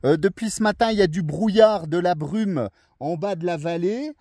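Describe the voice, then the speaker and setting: A man's voice: sits high, moderate pace, mellow. A man talks casually as an amateur radio operator. His sound is dry, with very little echo around it. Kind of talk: casual radio contact chat. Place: outdoors on a mountain, over a thousand metres.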